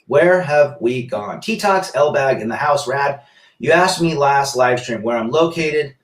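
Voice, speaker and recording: A man's voice: medium pitch, a little slow, distant mic. A middle-aged man from Pennsylvania hosting a casual livestream, reading viewer comments aloud and answering them. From south-central Pennsylvania, US. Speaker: livestream host reading chat. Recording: echoey room.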